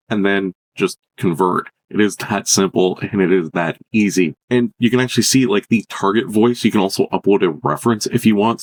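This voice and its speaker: A man with a fairly low voice presents a tech tutorial. He talks informally and off the cuff, with a chatty, enthusiastic manner as he explains each step. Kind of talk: casual unscripted software walkthrough.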